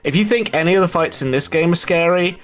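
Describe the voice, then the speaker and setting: A man giving live speedrun commentary, explaining as he goes and pausing mid-thought to rephrase. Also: high-pitched, fairly bright tone.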